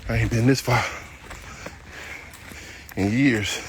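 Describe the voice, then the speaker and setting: A man's voice: medium pitch, steady tone, takes it slowly, noisy background. A man in his fifties, a dog trainer, talking casually and bluntly while walking his dog on an outdoor trail. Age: 50s. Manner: talkative, blunt, folksy storytelling.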